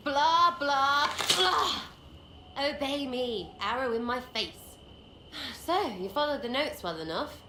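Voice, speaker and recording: A woman's voice: high voice, expressive, slow pace. A woman playing a cheeky young English commoner rogue in a video game, slangy, blunt, mocking and rambling. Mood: cheeky, brash. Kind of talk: video game dialogue acting. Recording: roomy echo, faint background noise.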